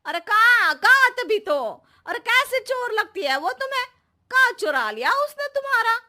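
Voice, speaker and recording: A woman acts out a suspicious, sharp-tongued housewife from a comic cartoon. Her high, piercing voice swings up and down as she scolds and teases with pointed questions. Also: big pitch swings, unhurried, exaggerated voice. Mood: suspicious, indignant. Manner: scolding, teasing rhetorical questions.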